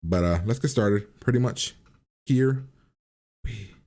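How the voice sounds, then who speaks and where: A man with a very deep, mellow voice gives casual reaction commentary. He is blunt and talks like a host chatting to his viewers, with some loose swearing. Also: slow pace.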